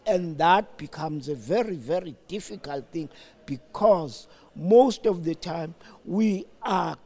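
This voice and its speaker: Older male interview guest, South African accent, animated high voice with wide swings, halting and emphatic political reflection.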